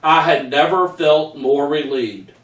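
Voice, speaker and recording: A middle-aged Texan man reads his own story aloud with a folksy, homespun delivery. His voice sits a bit high for a man. He stands back from the camera, so the sound comes from afar, with a roomy echo. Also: measured pace, a bit bright, far from the mic. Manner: folksy storyteller, says y'all. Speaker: author reading his book aloud. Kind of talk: reading a personal story aloud. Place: home room with framed pictures, indoors. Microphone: far camera. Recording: echoey room, voice from afar.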